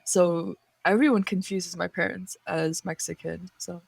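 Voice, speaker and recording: A young woman co-hosting a podcast, telling a story in a relaxed way with plenty of fillers. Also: fairly low pitch, a little slow, gravelly, husky, no room sound. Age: young adult. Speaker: podcast co-host. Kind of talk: casual storytelling.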